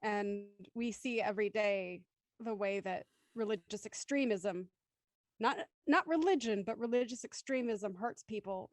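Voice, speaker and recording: A woman moderating a panel discussion, posing questions in thoughtful, measured phrases. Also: mid-range voice, no room sound.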